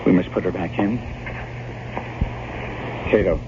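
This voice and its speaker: A man acting a resolute gentleman in an old-time radio horror drama, with a commanding, dramatic delivery. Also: low-pitched, unhurried. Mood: firm. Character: resolute gentleman visitor in a gothic horror tale. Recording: muffled, very noisy old radio recording.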